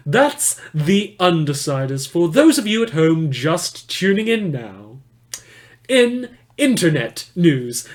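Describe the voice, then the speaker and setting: Man playing a wry, higher-voiced radio newsreader. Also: a little mellow, lightly acted. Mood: wry, snide. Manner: wry, mock-formal with hesitant asides. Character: wry post-apocalyptic local radio newsreader, deadpan and snide. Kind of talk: scripted radio news bulletin.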